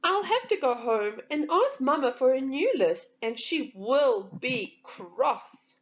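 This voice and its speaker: A woman voicing an expressive, sociable older sister in a children's storybook, on a slightly dull recording. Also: high voice, slow, pitched above the performer's own voice, exaggerated voice. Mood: worried.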